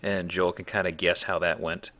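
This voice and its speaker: A man's voice: low-pitched, level tone, moderate pace, fairly bright tone. A man hosting a let's play with casual, folksy, rambling commentary on the game. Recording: commentary over faint game background noise.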